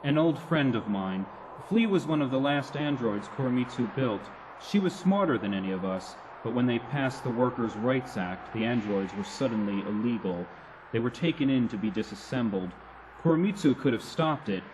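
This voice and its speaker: A man's voice: mid-range voice, expressive, moderate pace, background noise, natural voice. A man playing a weary, wry android adventurer in a science fiction radio drama, terse and understated. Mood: troubled, remembering. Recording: loud ambient sound effects behind.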